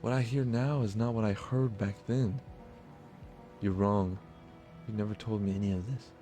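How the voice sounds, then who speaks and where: A man's voice: fairly low pitch, fairly even intonation, normal speed, dark tone, faint music behind, natural voice. A man reading the lines of a bewildered, wary husband in a visual novel, solemn and questioning. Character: bewildered, wary husband confronting his lost wife. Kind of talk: visual novel dialogue read aloud.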